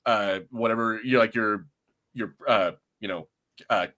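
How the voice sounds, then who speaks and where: A man's voice: lowish voice, measured pace, pushed and bright. A man co-hosting a podcast in casual conversation, often repeating words and feeling his way through sentences, with some easy swearing. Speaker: podcast host. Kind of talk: casual chat, TV discussion.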